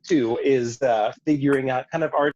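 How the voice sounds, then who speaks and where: A man chatting casually on a video call, halting and circling back over his phrases. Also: mid-range voice, steady tone, a little mellow. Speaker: cast member. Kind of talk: casual chat. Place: online group video call. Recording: dull lo-fi video call audio.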